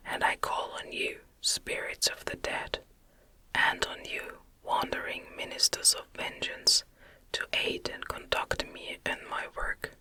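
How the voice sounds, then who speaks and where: A woman softly reading a gothic novel aloud as ASMR, in a breathy, gentle, soothing whisper. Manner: gentle, soothing, deliberate storytelling. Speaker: ASMR narrator reading an audiobook.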